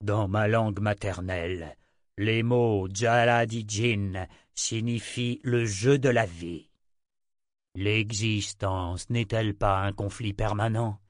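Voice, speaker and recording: A man's voice: lowish voice, fairly even intonation, slow, no room sound, studio quality. A man playing an arrogant tyrant emperor. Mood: condescending. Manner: lecturing, condescending.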